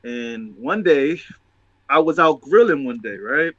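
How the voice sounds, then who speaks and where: A man's voice: mid-range voice, expressive, unhurried, no room sound, lo-fi sound. A man talking casually and motivationally as a show host, emphatic and testifying, repeating phrases for stress.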